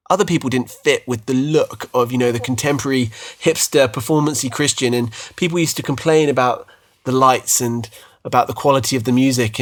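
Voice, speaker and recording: A British man in his thirties talks easily with his wife on a podcast, with a middling pitch. He tells his story in a chatty way, often stumbling into small restarts, and jokes at his own expense. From United Kingdom. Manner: chatty, self-deprecating, frequent restarts. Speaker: podcast co-host. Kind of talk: casual faith podcast chat.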